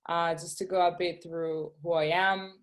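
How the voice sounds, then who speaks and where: A woman presents her design work to schoolchildren over a video call. She sounds eager, pausing on fillers and checking in with "okay?" as she goes. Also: fairly low pitch, flat delivery. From Venezuela. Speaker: guest speaker, shoe designer.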